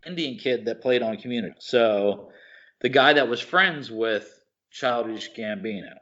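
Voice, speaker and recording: A man's voice: medium pitch, sharp tone. Informal, rambling man on a dull podcast recording. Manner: rambling, halting, searches for words; informal, enthusiastic. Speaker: podcast co-host. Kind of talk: casual chat about films and TV shows. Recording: slightly dull, lo-fi podcast audio.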